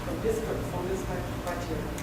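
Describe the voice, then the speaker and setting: A woman speaks from across a large echoey room, pausing often and restarting her sentences as she explains. Her voice sits at an ordinary pitch, picked up from a distance with steady background noise. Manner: hesitant, many fillers and restarts. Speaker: workshop presenter. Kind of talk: conference discussion.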